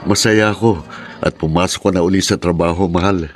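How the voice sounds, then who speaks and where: An actor playing an earnest, devoted, romantic sweetheart in a Filipino radio drama. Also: male voice, deep voice, fairly even intonation, brisk, soft background music, lightly acted. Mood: affectionate.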